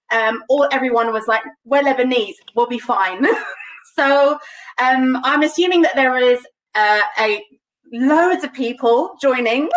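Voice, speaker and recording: Young London woman hosting a home cooking stream; casual and chatty, higher voice, set back from the webcam. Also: a bit bright. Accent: London English. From London, England. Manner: chatty, many ums, light laughs. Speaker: cooking show presenter. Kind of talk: casual live cooking demo. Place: home kitchen, indoors. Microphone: webcam on a video call. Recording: distant webcam mic in kitchen.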